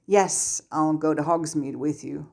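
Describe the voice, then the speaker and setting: A woman voicing a fiery, expressive, smitten teenage schoolgirl with an exclamatory way of speaking in a story reading. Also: low voice, a little slow, gravelly. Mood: shy, smitten.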